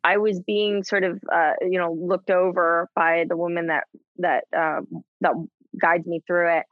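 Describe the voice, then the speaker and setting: A woman in her thirties talking candidly as a guest, halting with many restarts and frequent laughs. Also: fairly low pitch, flat delivery, dry recording, narrow, tinny audio. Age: 30s. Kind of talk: candid conversation.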